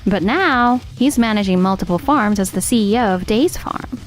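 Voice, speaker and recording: A woman's voice: medium pitch, lively intonation, normal speed, soft background music, lightly acted. A woman playing a composed, loyal farmer's wife in a manga dub, speaking plainly.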